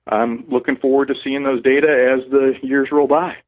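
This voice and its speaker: A man's voice: medium pitch, little pitch movement, no echo. A man speaks over a tinny phone connection in a careful, explanatory way. There is no room sound around his voice. He qualifies his points as he goes. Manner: measured, hedging, explanatory. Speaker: cannabis researcher guest. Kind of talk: phone-in expert interview. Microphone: telephone.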